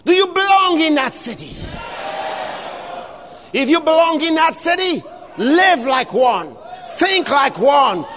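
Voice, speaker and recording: A man preaching an impassioned sermon, shouting scripture with long drawn-out phrases. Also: very high voice, big pitch swings, long reverb tail, crowd noise, noisy background.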